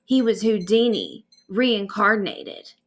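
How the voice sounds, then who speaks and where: A woman narrating a bedtime story aloud in an expressive storytelling manner. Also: mid-range voice, takes it slowly. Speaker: author reading own stories aloud.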